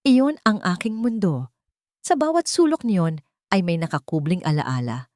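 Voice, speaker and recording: Woman storyteller, lowish voice, sad dramatic narration, very dry close sound. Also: animated, moderate pace, mellow, no echo. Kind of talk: scripted dramatic first-person narration.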